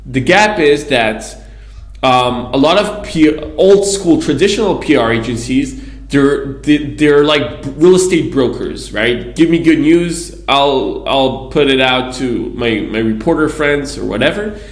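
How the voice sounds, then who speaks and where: A man's voice: mid-range voice, expressive, a little slow. A man from Israel, a guest on an interview podcast, telling his story in a casual, rambling way with plenty of asides. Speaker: guest, PR agency founder. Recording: echoey room.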